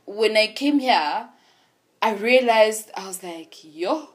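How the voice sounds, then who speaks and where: A young woman talking candidly about her own life. Her voice sits at a middle pitch, and she tells her story in a reflective, conversational way. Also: lively intonation, takes it slowly. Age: 20s. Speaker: podcast host. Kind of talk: casual conversation.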